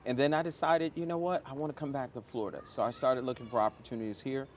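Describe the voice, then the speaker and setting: A man's voice: mid-range voice, fairly even intonation, quick pace. Emphatic middle-aged American man, faint background noise. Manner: emphatic, motivational, uses examples and rhetorical questions. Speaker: interview guest, airport chief executive. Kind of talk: conversational interview answers, storytelling.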